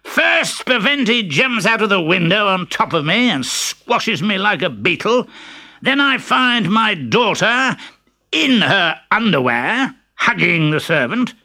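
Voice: mid-range voice, big pitch swings, slow pace, bright, forceful tone, pitched above the performer's own voice, full character voice. A storyteller voicing Pantalone, an angry old father who blusters indignantly. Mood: furious.

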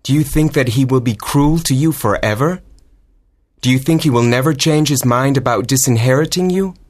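An actor playing an impatient young suitor who presses and persuades in a dramatized audiobook. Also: male voice, medium pitch, normal speed, lightly acted. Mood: probing, persuasive. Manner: urgent, demanding, persuasive.

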